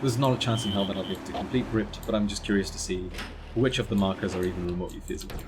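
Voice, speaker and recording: A man's voice: deep voice, steady tone, very fast, background noise. A man from the Netherlands giving casual live commentary while streaming a driving game, chatting with viewers and laughing at his own crashes.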